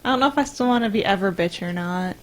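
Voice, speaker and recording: A young woman with a medium-pitched voice joins a casual podcast chat. She speaks conversationally into a microphone in a small room. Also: fast, a little hiss or hum. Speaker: podcast host. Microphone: podcast microphone on boom arm.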